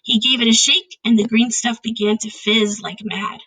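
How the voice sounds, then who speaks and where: A woman reading a children's story aloud, pausing to explain it informally in her own words. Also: a little high, steady tone, pushed and bright, breathy edge, low-quality recording.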